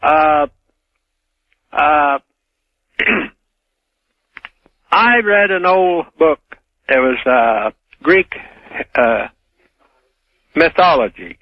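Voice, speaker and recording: Slow, halting higher-voiced male caller. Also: dry recording. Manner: halting, hesitant. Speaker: caller to a radio show. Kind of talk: casual phone-in chat. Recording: dull phone call on radio.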